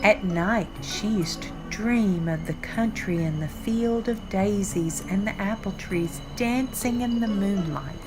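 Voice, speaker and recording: An older woman narrates a picture book for young children at a very unhurried pace. Her pitch sits in a middle range, and she phrases each sentence deliberately and expressively. Music plays clearly behind her. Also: low-quality recording. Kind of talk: read-aloud storytime narration. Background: background music.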